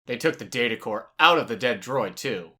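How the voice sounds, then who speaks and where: An actor playing a cocky, easygoing cyborg mercenary spy in a space audio drama. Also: male voice, mid-range voice, lively intonation, moderate pace, bright, forceful tone. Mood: businesslike.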